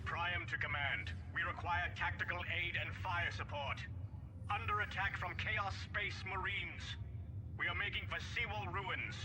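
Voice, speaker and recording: An actor playing a dutiful, embattled space marine sergeant in a video game, with clipped and earnest military speech. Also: male voice, sits high, unhurried, background noise. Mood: urgent. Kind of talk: scripted game dialogue.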